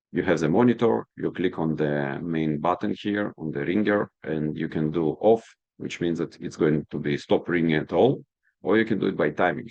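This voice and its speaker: A man with a low, creaky voice walks through a product demonstration. He explains things step by step like a trainer checking that everyone follows, and the sound is close and dry. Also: darkish tone, no echo. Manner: step-by-step, instructional, checking understanding. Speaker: product trainer presenting a webinar.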